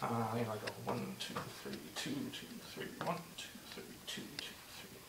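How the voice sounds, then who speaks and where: A man teaching a movement drill step by step, encouraging and repeating himself, slightly hoarse and short of breath. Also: mid-range voice, huge pitch swings, moderate pace, roomy sound, far from the mic, very noisy. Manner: encouraging, repeats "just like that" and "good". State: losing his voice, a bit out of breath. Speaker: instructor. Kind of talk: step-by-step demonstration lesson.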